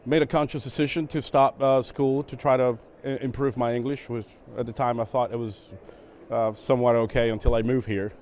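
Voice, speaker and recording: A middle-aged man from Venezuela, a native Spanish speaker, answering questions on a conference stage in a relaxed way, with many fillers and repeated words. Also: mid-range voice, fairly quick. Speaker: guest, operations executive.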